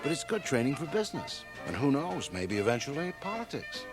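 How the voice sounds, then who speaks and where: A man's voice: medium pitch, big pitch swings, a little fast, over music, lightly acted. An actor playing a glib, self-satisfied young man with ambition, breezy and pompous in radio drama dialogue. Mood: breezy, cocky. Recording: 1980 radio drama, home cassette transfer.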